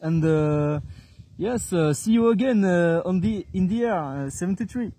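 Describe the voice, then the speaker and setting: A man from France with a French accent, making radio contacts in a halting way and repeating his words. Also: sits high, expressive, background noise. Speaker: amateur CB radio operator.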